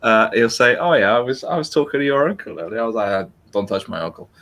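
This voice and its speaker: A man's voice: medium pitch, sing-song, quick pace, fairly bright tone. A British man chatting casually on a voice call, telling stories and laughing often. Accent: British English. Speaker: guest on a chat stream. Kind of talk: casual chat.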